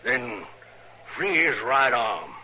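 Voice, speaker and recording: An actor playing a severe, mad middle-aged frontier preacher in an old radio western, pronouncing solemn biblical judgment. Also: male voice, medium pitch, big pitch swings, slow pace, pushed and bright, full character voice. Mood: grim, solemn. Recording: noisy old radio recording.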